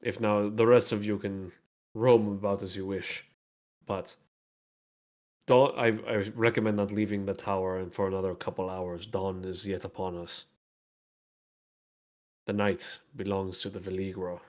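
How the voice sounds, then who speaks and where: A man's voice: deep voice, little pitch movement, measured pace, long pauses, darkish tone. A man voicing a stern female guard captain.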